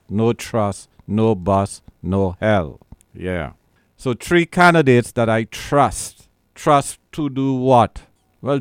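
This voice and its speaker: A man's voice: medium pitch, darkish tone, clear, resonant tone, dry recording, clean studio sound. A man born in the Caribbean hosting a radio talk show, speaking emphatically with a lot of repetition. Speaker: radio show host and lawyer.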